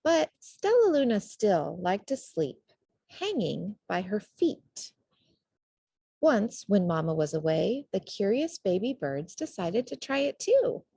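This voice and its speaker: A woman narrates a children's picture book at a slow pace. Her intonation is very lively, her tone slightly husky, and the sound is dry and close. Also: medium pitch, no echo. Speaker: storybook reader. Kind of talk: expressive children's storybook narration.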